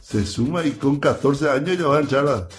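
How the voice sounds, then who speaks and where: A man hosting a radio show in casual chat, relaxed and rambling, full of fillers and restarts. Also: medium pitch, moderate pace, soft background music.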